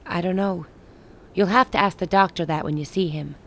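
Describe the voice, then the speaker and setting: An actress playing a grave, resolute woman who leads a besieged rebel city in a radio play, speaking in measured, formal lines. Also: lowish voice, a bit bright, a little hiss or hum. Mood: solemn, insistent. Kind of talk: dramatic radio play dialogue.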